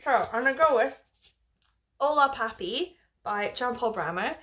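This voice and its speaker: A woman's voice: fairly high pitch, expressive, measured pace, fairly bright tone, a little hiss or hum. A young woman from Wales chatting casually about books, rambling with lots of fillers and the odd swear word. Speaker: book vlogger. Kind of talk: casual book review chat.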